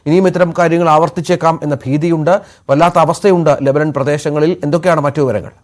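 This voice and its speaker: Higher-pitched Malayali male news anchor. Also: fairly even intonation, fast. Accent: Malayali (Kerala). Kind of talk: formal scripted news presentation.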